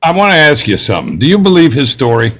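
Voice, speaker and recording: A man as an impatient juror, animated pitch. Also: fast. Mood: scornful impatience. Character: impatient, scornful, bigoted male juror, blunt and dismissive. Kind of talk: dramatic table read of a stage drama.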